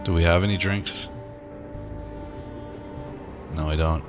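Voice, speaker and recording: A man with a deep voice plays through a game and comments casually. Game music is clearly heard behind him. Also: fairly even intonation, slow. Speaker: let's-play streamer.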